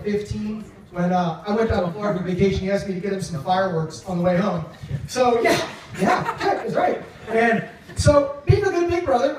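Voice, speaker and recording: A young man giving a humorous, teasing wedding toast as best man, telling stories haltingly into a handheld microphone in a tent. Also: high-pitched, darkish tone. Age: young adult. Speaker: best man, brother of the groom. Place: large wedding reception tent. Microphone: handheld microphone over speakers, filmed from a few metres away. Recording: echoey, distant, noisy event recording.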